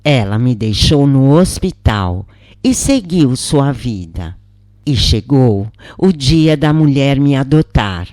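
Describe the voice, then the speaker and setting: A woman's voice: a bass voice, animated, slow, mellow, no room sound, clean studio sound. A woman with a Brazilian accent reading a scripted first-person spiritual story as narrator.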